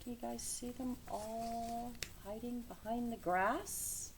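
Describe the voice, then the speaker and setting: A woman reading a children's picture book aloud with dramatic, deliberate phrasing, speaking to young listeners. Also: on the high side. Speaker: read-aloud storyteller. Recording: steady background noise.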